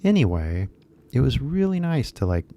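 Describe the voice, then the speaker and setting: Brief: man in his 30s, dark gravelly tone, loose rambling live-stream host. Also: mid-range voice, sing-song, normal speed. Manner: rambling, heavy fillers, switches into Japanese. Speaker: English teacher YouTuber. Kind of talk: casual live stream chat.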